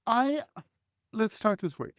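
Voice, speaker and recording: A man's voice: high-pitched, sing-song, slow, dry recording. Man, forties, from Israel, Hebrew speaker, earnest guest storytelling, dry close sound. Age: 40s. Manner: earnest, reflective, clears throat often. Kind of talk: conversational life storytelling.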